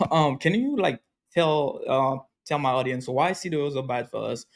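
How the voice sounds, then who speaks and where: A man's voice: a little high, measured pace. A man in his thirties hosts a podcast in a loose, chatty way. He talks casually with his guest and laughs easily. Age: 30s. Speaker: podcast host.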